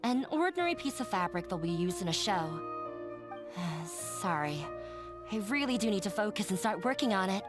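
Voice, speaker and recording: A woman's voice: medium pitch, sing-song, moderate pace, close to an everyday voice. A woman voicing a shy, self-doubting young prop maker in a video game, nervous and hesitant. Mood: flustered. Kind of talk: animated video game dialogue. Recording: music playing behind the voice.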